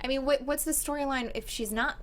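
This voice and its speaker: A woman's voice: high voice, steady tone, fairly quick, a little hiss or hum. A woman in her thirties from Miami, an actress chatting as a guest, bubbly and self-mocking. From Miami, Florida. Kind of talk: casual interview chat.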